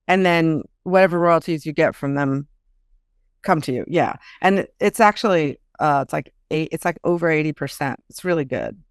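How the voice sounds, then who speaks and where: A woman's voice: low voice, moderate pace, clean tone, no echo, studio quality. A woman speaking as a guest author in an interview, explaining things in an informal, conversational way.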